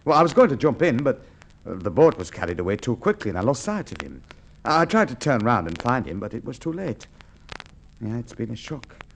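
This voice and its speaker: Calm creepy murderer in an old radio play, polite and menacing, voice swings all over. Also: male voice, mid-range voice, brisk. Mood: feigned grief. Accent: Australian. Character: cold scheming male murderer, smooth and polite. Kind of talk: 1950s radio drama acting.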